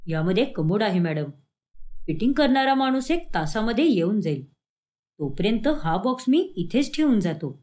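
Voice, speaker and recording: A woman's voice: medium pitch, sing-song, normal speed, a little mellow, voice pushed down, lightly acted. A woman voicing a businesslike, polite deliveryman in a narrated cartoon story. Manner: matter-of-fact.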